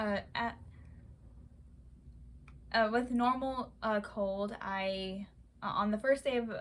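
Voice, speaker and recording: A young woman vlogger telling a personal story in a halting way, with many fillers and restarts. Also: mid-range voice, slight background noise. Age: young adult. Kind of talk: casual personal account.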